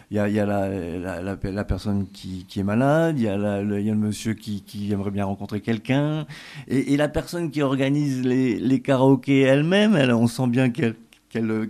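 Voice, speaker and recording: A man with a mellow, warm tone and a mid-range pitch. He hosts an interview, often stalling and repeating words as he works his thoughts out aloud. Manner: halting, repeats words while searching. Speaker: interviewer, host. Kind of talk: conversational interview.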